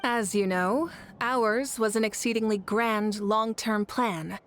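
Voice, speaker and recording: A woman's voice: mid-range voice, close to an everyday voice. A woman voicing a proud warrior leader. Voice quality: measured, formal, dignified. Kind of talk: video game voice acting.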